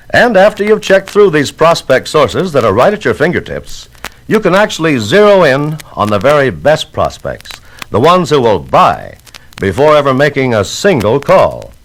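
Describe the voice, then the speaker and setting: A man's voice: medium pitch, poor audio. An American man reading scripted 1960s sales training narration in an emphatic, instructional manner.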